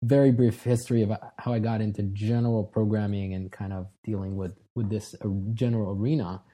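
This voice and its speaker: A man in his thirties from Israel talks as an interview guest, his voice dark and mellow with a soft, breathy edge. He speaks in a relaxed, self-deprecating way and restarts his sentences often. His voice sits a little low for a man. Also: brisk. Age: 30s. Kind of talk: casual interview talk.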